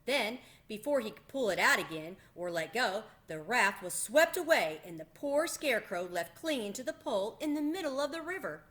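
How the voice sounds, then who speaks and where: A woman narrating a children's storybook aloud in an expressive manner, as the host of a read-aloud. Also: fairly high pitch, moderate pace, few pauses.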